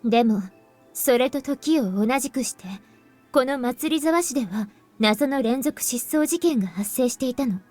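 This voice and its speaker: Composed, high-voiced anime game heroine. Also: female voice, animated, fast, darkish tone. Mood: grave, measured. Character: composed young woman revealing grave secrets, anime game heroine. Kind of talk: Japanese visual novel voice acting. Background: game music.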